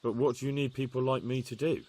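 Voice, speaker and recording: An actor playing an earnest young medieval spy in a game, plain-spoken and respectful. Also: male voice, medium pitch, fairly even intonation, fast, a little mellow, slightly rough, lightly acted. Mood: guarded. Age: young adult. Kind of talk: video game cutscene acting.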